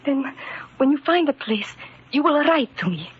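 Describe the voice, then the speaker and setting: High female voice, devoted worried wife, melodramatic, formal phrasing, old radio drama, dull lo-fi sound. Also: animated, moderate pace, a little hiss or hum. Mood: urgent, pleading. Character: anxious, devoted immigrant wife, urgent and protective. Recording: muffled vintage radio recording.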